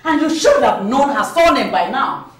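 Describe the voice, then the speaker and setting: Bossy wealthy mother, high, very lively scolding voice. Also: normal speed, slight background noise. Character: domineering wealthy mother, middle-aged, furious. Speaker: domineering mother. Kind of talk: melodrama acting.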